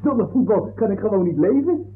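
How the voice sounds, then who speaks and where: An actor playing a muddled, excitable football fanatic on trial in a 1960s comic radio play, stammering and rambling. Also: male voice, high-pitched, brisk. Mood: pleading, earnest. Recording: old narrow-band radio recording.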